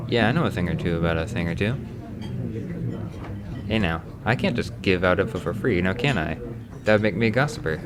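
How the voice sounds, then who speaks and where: A man's voice: fairly low pitch, heavy background noise, lightly acted. A man voicing a boastful, cynical ex-adventurer drinking in a tavern in a roleplay piece, with a sardonic, bragging manner. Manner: cocky, sardonic, slurred bragging. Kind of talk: romance roleplay ASMR.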